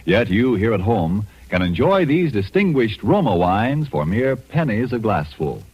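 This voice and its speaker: A man's voice: on the low side, very animated, measured pace, husky. A man reading a scripted 1940s radio advertisement as a polished, emphatic announcer. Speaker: commercial announcer. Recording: dull lo-fi vintage radio, music behind.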